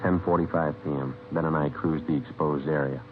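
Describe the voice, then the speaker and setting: An actor playing a deadpan, businesslike police sergeant in a vintage radio crime drama, speaking in terse, clipped sentences. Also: male voice, extremely low pitch, fairly quick, a little hiss or hum. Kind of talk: 1950s radio crime drama acting and narration. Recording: muffled phone-like old radio recording.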